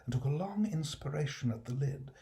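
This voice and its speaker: A man with a British accent gives an expressive, scripted storybook narration as an actor. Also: on the high side, brisk. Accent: British English.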